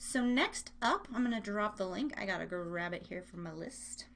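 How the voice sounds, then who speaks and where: A woman's voice: mid-range voice, animated, normal speed, fairly bright tone. A woman hosting a live online music show in a casual, chatty, gushing way. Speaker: radio show host.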